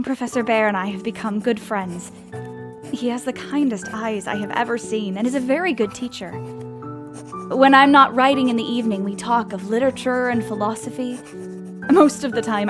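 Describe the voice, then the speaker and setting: Actress playing young woman writer, audio drama, earnest, eager, slightly awkward, fairly high voice, background music. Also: lightly acted. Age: young adult. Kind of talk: audio drama acting, letter narration.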